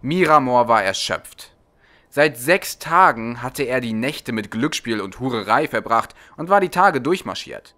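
A man's voice: medium pitch, animated, measured pace, sharp tone. A man narrating a storybook from a script as an audiobook reader.

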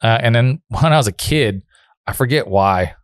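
A man in his thirties talking casually as a podcast guest, telling stories in an informal, halting way. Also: mid-range voice, lively intonation, no echo, clean studio sound. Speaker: podcast guest, former helicopter flight instructor. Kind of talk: casual conversational storytelling. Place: small furnished podcast room indoors. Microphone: broadcast microphone on boom arm, close.

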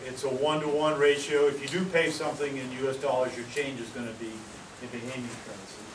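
A man from Maine giving a casual talk outdoors, with many fillers and pauses. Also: medium pitch, flat delivery. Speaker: presenter. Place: outdoor pavilion among palm trees. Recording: roomy, distant, noisy outdoor sound.

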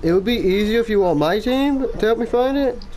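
A man's voice: high voice, expressive, a little fast, darkish tone. A man chatting casually while gaming, giving short plain replies, with a head cold. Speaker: gamer.

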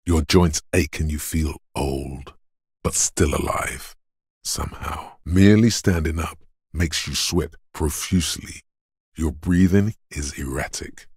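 An extremely low, husky voice, speaks as the grave inner voice of a battered detective's body in a video game. The delivery is measured and stoic, with a heavy gravelly rasp. Each line lands deliberately, in scripted second-person narration. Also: male voice, a little mellow, dry recording, clean studio sound, heavily acted. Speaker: voice actor.

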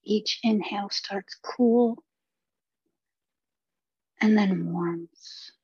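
A woman teaching meditation, leading a guided body scan with gentle, unhurried instructions and long pauses. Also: mid-range voice, breathy edge, no echo, highs cut off.

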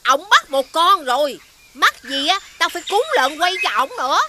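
A woman with a southern Vietnamese accent playing a stingy, scolding peasant aunt in an animated fairy tale, shrill and complaining. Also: normal speed, heavy background noise. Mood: indignant, complaining. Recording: loud music behind the voice.

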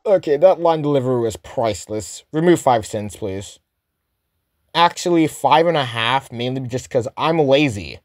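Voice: medium pitch, animated, moderate pace. A commentator giving a casual, sarcastic scripted critique of a cartoon. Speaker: host, commentator.

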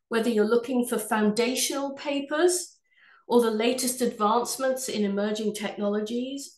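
A woman from England with an English accent, head of sales, presenting a webinar from her office in a measured, polished way. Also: medium pitch, reverberant. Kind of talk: scripted product presentation.

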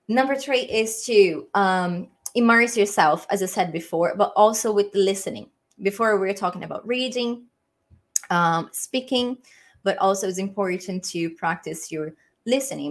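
Brazilian-accented woman streaming English tips. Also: medium pitch. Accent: Brazilian Portuguese. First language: Portuguese. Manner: hesitant, many ums and restarts, friendly. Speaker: English teacher. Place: small room at home, indoors. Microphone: webcam framing, desk mic.

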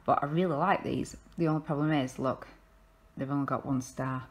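Chatty English mum with a low voice. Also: female voice, fairly even intonation, moderate pace. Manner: chatty, rambling, frequent ums. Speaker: vlogger. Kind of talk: casual chatty vlog. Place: living room sofa at home.

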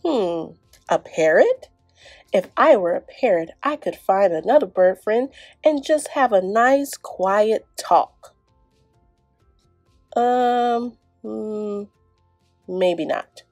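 A man's voice: high-pitched, expressive, slow pace, pauses often, a little mellow, dry recording, faint music behind, heavily acted. A woman reading a picture book as a bored, imaginative little boy, musing and exclaiming dramatically. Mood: excited daydreaming. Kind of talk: picture book read-aloud.

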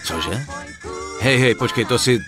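An actor playing a guarded young soldier home from war in a dubbed game, laconic and down-to-earth. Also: male voice, mid-range voice, lively intonation, music in the background, natural voice. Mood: surprised. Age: young adult. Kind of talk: dubbed video game cutscene acting.

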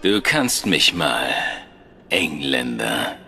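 A man's voice: rumbling low, sing-song, drawn-out words, gravelly, heavily acted. A man voicing a tough, blunt, sardonic Irish mechanic and brawler in a German video game. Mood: defiant. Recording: music behind the voice.

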